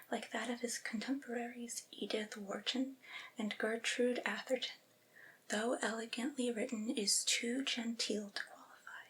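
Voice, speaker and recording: A woman reading aloud in an ASMR style in a breathy, unvoiced whisper, phrasing each clause deliberately and carefully. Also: fairly high pitch, fairly bright tone, a little hiss or hum, poor audio. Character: devoted servile female vampire's familiar soothing master.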